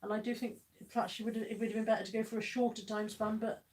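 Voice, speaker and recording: A woman's voice: on the high side, flat delivery, racing, a little mellow. A woman hosting a live stream in a casual chatty way, reading out viewer comments, laughing often and talking bluntly. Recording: voice somewhat far from the mic.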